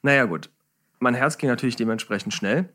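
Man, middle-aged, medium pitch, casual storyteller, interview guest. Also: a little fast, pushed and bright. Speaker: podcast guest, celebrity hairstylist. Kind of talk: casual anecdotal interview chat.

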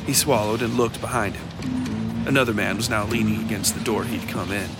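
A man narrating a dark fiction podcast in a scripted, dramatic storytelling style. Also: on the low side, fairly even intonation, normal speed. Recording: loud music behind the voice.

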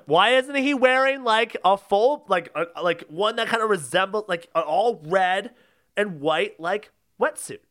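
A man with a high, very lively voice that cuts through sharply. He talks like a podcast co-host in a comic debate, pressing his point emphatically and repeating key phrases. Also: a little slow. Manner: emphatic, insistent, repeating himself. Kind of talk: casual comedic debate.

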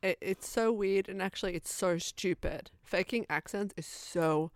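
A woman's voice: medium pitch, a little slow, dry recording. A woman with an Australian accent co-hosting a podcast, talking casually and emphatically, often repeating words. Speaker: podcast co-host. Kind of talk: casual chat.

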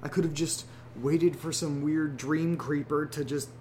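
A man's voice: on the high side, steady tone, a little slow, darkish tone, a little hiss or hum, natural voice. A man playing a sarcastic, rambling young guy. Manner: sarcastic, rambling, with casual fillers and restarts. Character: young man, wry and sarcastic, talking to listeners. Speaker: narrator and protagonist. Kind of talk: horror audio drama monologue.

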